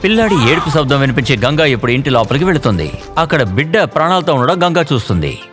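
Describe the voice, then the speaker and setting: A man narrating an animated children's story in an expressive storytelling manner. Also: fairly high pitch, quick pace. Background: faint background music.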